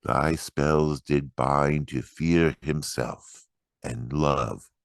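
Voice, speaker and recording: A man reciting poetry dramatically, with solemn, measured phrasing and deliberate pauses. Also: very deep voice, fairly even intonation, vocal fry, no echo, clean studio sound. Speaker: poetry reader.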